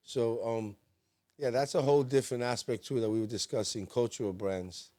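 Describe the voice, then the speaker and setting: A man's voice: mid-range voice, moderate pace. A man co-hosting a podcast in a studio, talking casually and getting emphatic when he makes a point. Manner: emphatic, gets animated when making a point. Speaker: podcast co-host.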